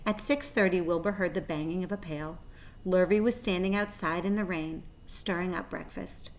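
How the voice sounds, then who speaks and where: A woman's voice: on the low side, steady tone, normal speed, poor audio. A woman in her forties reading a children's story aloud expressively, as a storyteller. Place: small plain room indoors.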